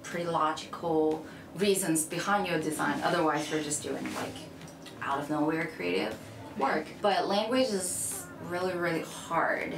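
A woman's voice: low voice, unhurried, noisy background. A young woman from China, speaking English as a second language, answering interview questions in a frank, chatty way at a table. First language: Chinese. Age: young adult. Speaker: interviewee, UX designer. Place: bright room, seated at a table. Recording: echoey room with music under.

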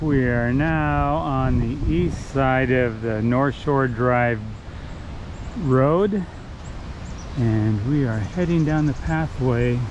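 A man narrating a casual outdoor hike as a photographer, relaxed and enthusiastic, often restarting his sentences. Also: mid-range voice, highs cut off. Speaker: photographer vlogger. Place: outdoors by a river and waterfalls. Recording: outdoor noise of rushing water. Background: rushing water.

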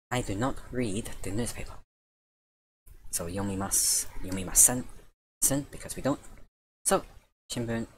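A man's voice: on the low side, a little hiss or hum, rough recording. A man teaching a casual vocabulary lesson over his screen, voice dry and tiring, easygoing and correcting himself as he goes. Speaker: Japanese language teacher.

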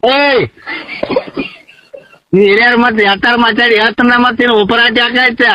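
A man's voice: very high voice, little pitch movement, normal speed, bright, forceful tone, slight background noise. A man with a North Karnataka accent arguing on a phone call, stammering and repeating himself. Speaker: prank call participant. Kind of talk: heated phone argument in village dialect. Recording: dull lo-fi phone call recording.

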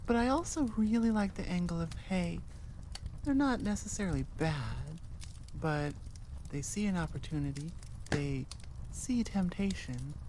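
A woman with a low, mellow voice talks casually to her listeners. She thinks out loud in a reflective, informal ramble, with faint noise behind her. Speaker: audio creator addressing listeners. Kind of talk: casual chatty outro, thinking aloud.

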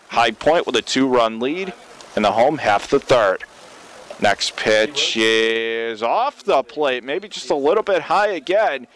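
A man calling a baseball game live as a radio play-by-play commentator. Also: mid-range voice. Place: open-air baseball stadium. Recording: clear outdoor ballpark ambient noise.

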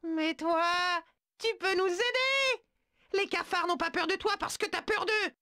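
A tiny male cartoon animal, very high, bright tone, dry sound, fretful and stammering. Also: unhurried, no echo, full character voice. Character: tiny excitable male animal, easily annoyed. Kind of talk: video game dubbing.

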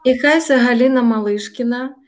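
A Ukrainian woman in her sixties, a language student, introducing herself slowly and hesitantly. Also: fairly high pitch. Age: 60s. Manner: slow, hesitant learner.

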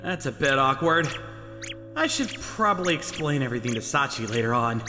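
A man's voice: medium pitch, lively intonation, moderate pace, over music, close to an everyday voice. A man playing an earnest, easily flustered young groom who narrates and speaks in a manga dub. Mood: uneasy.

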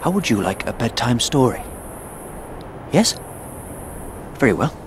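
An actor playing a brooding crusade veteran, telling his war in a measured, ominous first-person storytelling style. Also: medium pitch, sing-song, background noise. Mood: measured, grim. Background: music.

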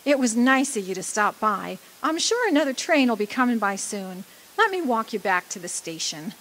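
Woman playing a brassy, lively, high-voiced dame. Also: moderate pace, heavily acted, rough recording. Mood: gentle, brisk. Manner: brassy, wry, flirtatious. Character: loud, brassy, flashy dead woman, wry and defiant. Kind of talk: radio drama acting.

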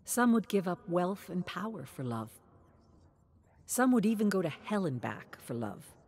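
A woman voicing an earnest female storyteller who addresses her audience in a theatrical, probing, deliberate way. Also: lowish voice, big pitch swings, a little mellow, slightly rough, exaggerated voice. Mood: intense, probing.

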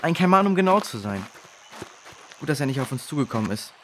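A man's voice: medium pitch, very animated, fast, natural voice. A male actor playing an earnest, nervous schoolboy in a children's audio drama. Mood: urgent, earnest.

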